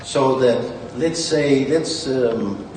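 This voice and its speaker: A man's voice: mid-range voice, drawn-out words, darkish tone, slight background noise. A man with a Danish accent giving a rambling, boastful conference speech as a company founder. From Denmark. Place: large conference hall. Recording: distant mic in an echoey hall with faint ambience.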